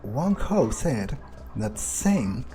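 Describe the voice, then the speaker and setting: Slow, mellow man musing aloud. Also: mid-range voice, big pitch swings, soft background music. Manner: musing, unhurried, deliberate pauses. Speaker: let's player. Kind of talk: in-character game roleplay, thinking aloud.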